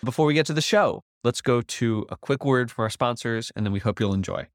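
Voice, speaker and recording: A man co-hosting a talk podcast in casual conversation, animated and stumbling over restarts. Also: mid-range voice, brisk, no room sound, clean studio sound. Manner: animated, stammering restarts, laughs while telling anecdotes. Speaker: podcast co-host.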